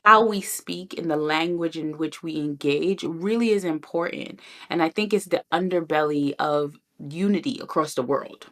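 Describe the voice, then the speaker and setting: A woman's voice: sits low, moderate pace, hardly any pauses. A woman hosting a podcast interview, enthusiastic and affirming, with reflective comments. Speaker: podcast host.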